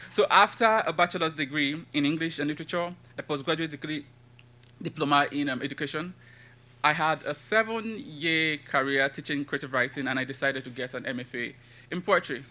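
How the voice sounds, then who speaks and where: A Nigerian man in his thirties speaks at a conference in a bright, somewhat high voice. He delivers his talk and poems in a measured, rhythmic recitation, often building long lists. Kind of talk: academic talk with poetry reading.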